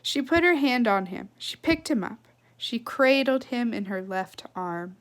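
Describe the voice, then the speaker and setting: Woman, fairly high voice, children's storyteller, expressive and emphatic, casual asides. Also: unhurried. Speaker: read-aloud storyteller. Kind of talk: reading a children's novel aloud with casual asides.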